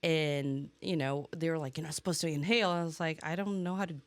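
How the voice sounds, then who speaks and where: A woman's voice: sits low, steady tone, fairly quick. A woman in her thirties from Brazil, whose first language is Portuguese, talking candidly as a podcast guest and laughing often. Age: 30s. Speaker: head brewer guest. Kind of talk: casual conversation.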